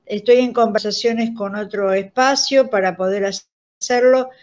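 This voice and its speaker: A woman's voice: fairly high pitch, moderate pace. An older woman with an Argentine accent, explaining things in conversation as an interview guest. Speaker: radio drama director, guest. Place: indoor room, on a video call. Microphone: video call device microphone. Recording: dull, lo-fi call audio.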